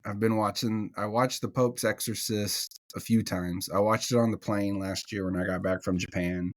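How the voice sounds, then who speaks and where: A man talking as a guest on a podcast, relaxed and chatty, with dry, deadpan humor. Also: lowish voice, normal speed, dry recording. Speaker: podcast guest, ordination candidate. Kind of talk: casual podcast conversation.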